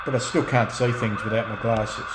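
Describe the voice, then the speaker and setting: A middle-aged man in a relaxed off-the-cuff chat as a radio hobbyist, speaking in a halting, reflective way and often restarting his phrases. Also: mid-range voice, very noisy. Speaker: radio hobbyist and restorer presenting own channel.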